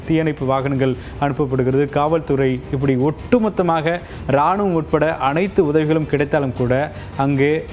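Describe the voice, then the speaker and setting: A man's voice: on the high side, darkish tone. A man with a Tamil accent explaining a news story as a correspondent, stressing key words emphatically. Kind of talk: semi-scripted explanatory news report. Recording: slightly dull sound, background noise.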